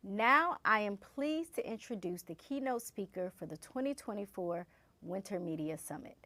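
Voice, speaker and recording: A woman's voice: medium pitch, animated, moderate pace, pushed and bright, no room sound. A woman hosting an event, reading scripted announcements and introductions in a polished, presentational way. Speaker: event host introducing a keynote speaker.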